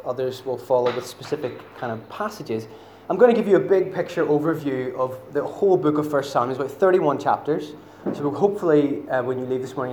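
Northern Irish preacher in a roomy church. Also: male voice, mid-range voice, darkish tone, slight background noise. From Northern Ireland. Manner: chatty and self-deprecating, many fillers. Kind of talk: sermon. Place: church hall.

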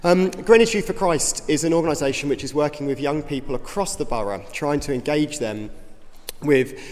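A man with a slightly hoarse voice, giving an informal, earnest talk as a youth ministry director in a church. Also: a little high, normal speed, darkish tone. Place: church hall. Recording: reverberant hall.

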